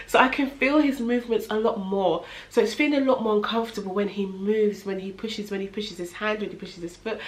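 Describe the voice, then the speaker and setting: Young woman, nasal and stuffy from a cold, casual rambling home vlog. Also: mid-range voice. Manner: chatty, rambling, many fillers. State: congested with a head cold. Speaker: vlogger. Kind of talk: casual pregnancy update vlog. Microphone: camera in front of her.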